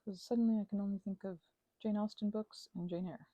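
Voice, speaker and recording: Soft husky woman, rambling craft ASMR. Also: mid-range voice, steady tone, a little fast, dry recording. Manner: hesitant, meandering, self-interrupting. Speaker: host of a craft ASMR channel. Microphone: close mic.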